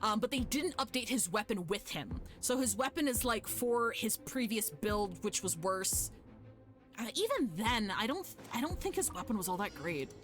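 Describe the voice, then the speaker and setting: A woman's voice: a little high, sing-song, normal speed, fairly bright tone. A woman streaming a video game live, commenting casually in an informal, sweary, self-mocking way. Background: game music.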